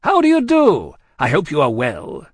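A man voicing a big, pushy, self-important businessman from a classic novel, booming and pompous with forceful exclamations. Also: very animated, moderate pace, slightly rough, dry recording, heavily acted. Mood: hearty, booming. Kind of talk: acted audiobook dialogue from a classic novel.

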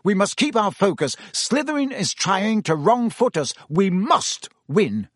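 A man's voice: high voice, sing-song, a little slow, dry recording, studio quality, voice pushed up, full character voice. A man doing a keyed-up teenage team captain. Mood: urgent, intense. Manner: urgent, insistent, keyed up. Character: teenage schoolboy team captain, intense and anxious.

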